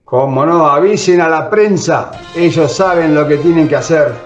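A man's voice: fairly high pitch, normal speed, close to an everyday voice. A man plays a compliant, servile male president in a radio drama recorded over a video call, answering in a deferential way. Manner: deferential, agreeable. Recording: echoey room, dull lo-fi audio, faint music.

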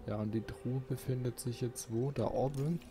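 A man's voice: medium pitch, steady tone, moderate pace, background noise. A man giving casual let's play commentary, thinking aloud and muttering questions to himself.

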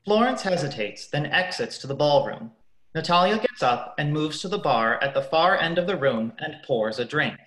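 A man narrating a scripted radio play in a measured, announcing manner. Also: on the high side, expressive, fairly bright tone. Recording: echoey room.

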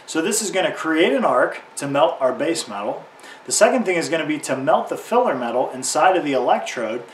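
Lively higher-voiced man teaching, roomy sound. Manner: explanatory, uses simple analogies. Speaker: welding instructor. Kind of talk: informal instructional explanation to camera. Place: garage or workshop beside a tractor. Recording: roomy, distant mic, faint background noise.

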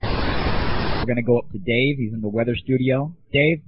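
A man's voice: medium pitch, normal speed, background noise. A man hosting a comedy audio show, ranting casually in a sarcastic, rambling, profane way. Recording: muffled lo-fi 1990s internet audio. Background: music.

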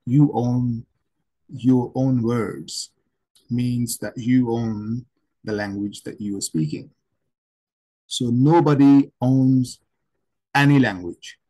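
Slow-talking Pakistani-accented man teaching. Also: medium pitch, warm tone, slightly rough, rough recording. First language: Urdu. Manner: slow, repetitive explaining with many pauses. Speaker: English teacher. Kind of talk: online lecture to camera. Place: home room with plants and shelves.

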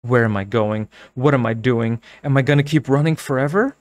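A man voicing a frightened young girl trapped in a monster mask, with a raspy, shrill, desperate voice. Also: a little fast, natural voice. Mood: bitter.

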